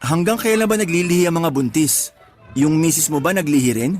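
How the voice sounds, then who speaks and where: A man's voice: a little high, brisk, a little mellow, natural voice. An actor playing an exasperated husband of a pregnant woman in a radio drama, complaining in an emphatic, colloquial way. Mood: weary, questioning. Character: exasperated young husband of a pregnant, craving partner. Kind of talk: Tagalog radio drama acting.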